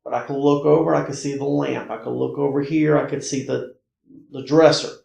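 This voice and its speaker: An American man casually recounting his own experiences as a guest, in halting, emphatic phrases and short repetitions. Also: mid-range voice, fairly even intonation, gravelly, darkish tone. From North Carolina, United States. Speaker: podcast guest recounting personal paranormal experiences. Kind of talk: casual conversational storytelling. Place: indoor room, in-person conversation. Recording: roomy, echoey indoor recording.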